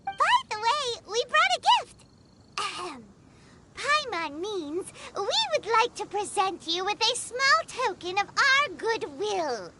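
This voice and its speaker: A woman voicing a tiny, childlike, cheeky fairy companion in a video game, with a squeaky voice. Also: huge pitch swings, a little slow, heavy background noise, exaggerated voice. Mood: eager, mock-formal. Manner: speaks of herself in third person, exclaims. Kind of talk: video game character acting. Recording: loud game music behind.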